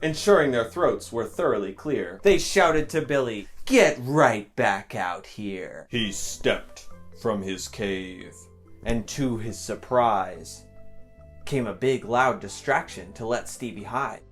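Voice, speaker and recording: A man's voice: medium pitch, slow pace, soft background music. A man giving a dramatic, rhythmic storybook narration as a children's author. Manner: theatrical, rhythmic rhyming delivery. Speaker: children's author reading aloud.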